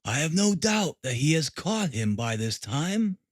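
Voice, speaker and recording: Male narrator as boastful detective, smug, emphatic, highish lively pitch, dry audiobook sound. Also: measured pace, no echo. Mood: gloating amusement. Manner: self-satisfied, emphatic, chatty.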